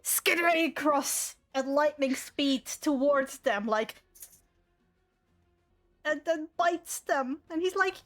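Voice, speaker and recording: Slow talking woman with a high voice, game mastering online, bit of music behind. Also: a bit bright. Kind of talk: running an online tabletop roleplay game.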